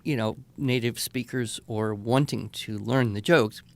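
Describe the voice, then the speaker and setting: A man of middling pitch telling stories in a casual podcast chat. His voice lifts and swells when he acts out quotes, then falls back to an easy conversational tone. The sound is close and dry, with no room around it. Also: measured pace, vocal fry, no room sound. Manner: animated anecdotes, voice lifts when quoting. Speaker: podcast co-host, writing teacher. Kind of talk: conversational storytelling.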